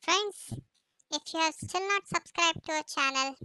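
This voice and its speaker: A scripted channel intro voice welcoming viewers and asking them to subscribe, announced slowly and evenly. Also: very high voice, pushed and bright, dry recording, poor audio.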